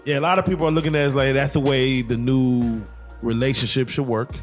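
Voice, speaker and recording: A man chatting casually as a host, low-key and quick to agree. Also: fairly even intonation. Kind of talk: casual chat. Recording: music behind the voice. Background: music.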